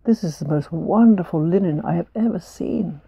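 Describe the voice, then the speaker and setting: A woman voicing a steady, old-fashioned father in a told folk tale, measured and a bit formal. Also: on the low side, vocal fry, slightly rough, no echo. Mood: decisive.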